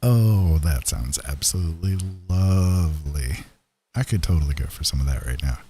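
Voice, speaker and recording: A man with a very low, slightly rough voice talks casually to his stream viewers. His delivery is easygoing and rambling, with frequent halts, and his tone stays dark and mellow. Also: moderate pace. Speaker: live streamer, digital painter and storyteller.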